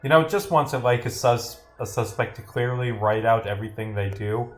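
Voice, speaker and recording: A man with a medium-pitched voice streams a playtest in a casual, rambling way. He reads dialogue aloud and breaks off for dry asides, with music playing behind him. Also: steady tone, normal speed. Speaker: streamer, game developer. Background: game music.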